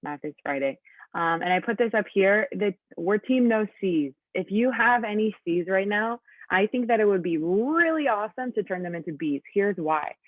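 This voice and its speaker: A woman's voice: medium pitch, lively intonation, moderate pace, no echo. A woman in her late twenties with a Californian accent runs an online class, chatty and upbeat, full of ums and likes. Her voice comes through a thin, narrow video call line. The sound is dry and close. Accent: Californian English. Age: late 20s. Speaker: teacher. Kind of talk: online class, casual teaching. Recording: thin phone-like audio over a video call.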